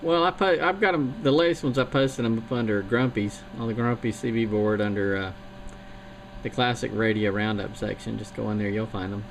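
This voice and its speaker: A man's voice: on the low side, steady tone, fairly quick, vocal fry. A man chatting casually and rambling a bit as he talks on a CB radio. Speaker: CB radio operator. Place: home radio station. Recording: noisy radio transmission.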